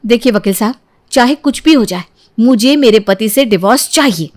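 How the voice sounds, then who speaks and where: A woman's voice: on the high side, expressive, moderate pace, a little mellow, natural voice. A woman voicing a hurt, stubborn young wife in a story, resolute and aggrieved. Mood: determined.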